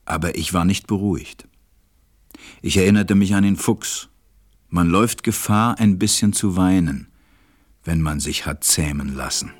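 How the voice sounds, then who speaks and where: A stranded pilot telling his story in a radio play. His voice is low, tender and melancholy. It is recorded dry and close. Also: a little slow, no echo. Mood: pensive, melancholy. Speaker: narrator. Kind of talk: audio drama narration and acting.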